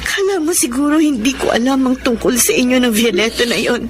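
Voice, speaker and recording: High-voiced, earnest, long-suffering wife. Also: female voice, expressive, brisk. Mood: hurt reproach. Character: long-suffering, devoted, reproachful wife of a blinded man. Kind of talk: Filipino radio drama acting. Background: dramatic music.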